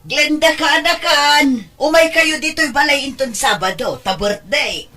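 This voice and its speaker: A woman's voice: high voice, a little slow, pushed and bright. A woman playing a hospitable, generous village auntie with an Ilocano accent in a comedy drama, easygoing and folksy. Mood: friendly, inviting.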